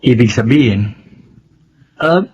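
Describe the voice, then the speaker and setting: An actor playing a sweet, attentive brother-in-law in a radio drama, speaking coaxingly with a Filipino accent. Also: male voice, mid-range voice, lively intonation, slow, breathy edge, full character voice. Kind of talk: Tagalog radio drama acting. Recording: dull lo-fi audio.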